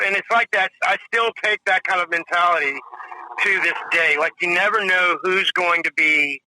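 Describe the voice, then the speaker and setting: A man's voice: high voice, bright, forceful tone, no room sound, rough recording. A man in his forties, a drummer guest telling rambling stories in a casual interview, full of "you know" and "if you will". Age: 40s.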